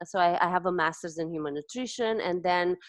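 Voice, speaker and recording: A lower-voiced woman with a Romanian accent talks through her ideas slowly, pausing and restarting as she hunts for the right word. The sound is dry and close, with no room around it. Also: no room sound. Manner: thoughtful, searching for words with frequent restarts. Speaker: host, dietitian. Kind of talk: conversational interview.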